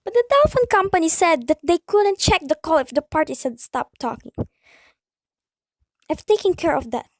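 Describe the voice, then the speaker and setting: A woman's voice: extremely high pitch, very animated, normal speed, no echo, studio quality. A woman playing an anxious, imperious invalid wife in a radio play, indignant, insistent and melodramatic. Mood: fretful, insistent. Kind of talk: amateur radio play acting.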